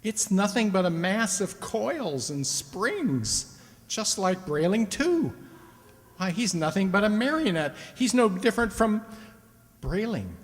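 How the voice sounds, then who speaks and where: An actor playing a stern, forthright and accusing customer in a radio play. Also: male voice, high voice, sing-song, slow, exaggerated voice. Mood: stunned. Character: stern, indignant male customer confronting a robot maker. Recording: echoey hall, stage radio play.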